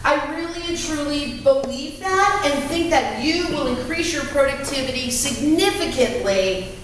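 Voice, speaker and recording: A high-voiced middle-aged woman from California gives a lively motivational talk, joking at her own expense. She sits back from the microphone in a very echoey room, with faint ambient noise underneath. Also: measured pace. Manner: energetic, self-deprecating, speaks about having a loud voice. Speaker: guest speaker. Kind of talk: motivational talk to a live audience. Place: meeting room with small audience. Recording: echoey room, speaker far from mic.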